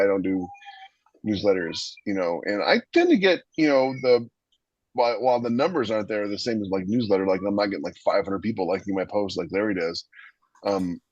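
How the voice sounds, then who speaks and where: Fast-talking husky man. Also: on the low side, dry recording, lo-fi sound. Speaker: podcast co-host and author. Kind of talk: casual panel chat.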